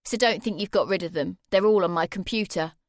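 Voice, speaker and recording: A woman's voice: lowish voice, fairly quick, dry recording, studio quality, natural voice. A woman voicing a sneering, menacing teenage boy. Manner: cold, sneering, bullying.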